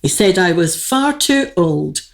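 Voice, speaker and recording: A woman's voice: on the low side, big pitch swings, unhurried, creaky, full character voice. A woman playing a young, gracious and candid queen in a radio play, with formal, poised phrasing. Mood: confiding, resigned. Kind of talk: theatrical radio play dialogue, courtly.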